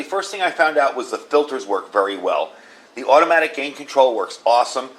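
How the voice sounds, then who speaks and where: A middle-aged man giving a casual hands-on product demo, explaining things and repeating words for emphasis. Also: mid-range voice. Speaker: video host reviewing radio gear.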